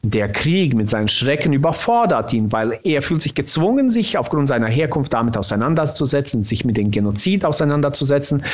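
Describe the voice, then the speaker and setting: A man with a Bosnian accent, native in Bosnian, talking thoughtfully about his own novel and pausing often to find the right words. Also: mid-range voice. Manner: thoughtful, searching for words with restarts.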